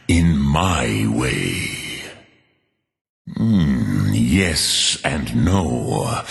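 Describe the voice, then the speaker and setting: A man playing an ancient, wise dragon elder in a fantasy game, speaking in a solemn, deliberate and formal manner with a gravelly voice. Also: very deep voice, a bit bright, full character voice. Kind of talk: fantasy game character acting. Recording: long cavernous reverb.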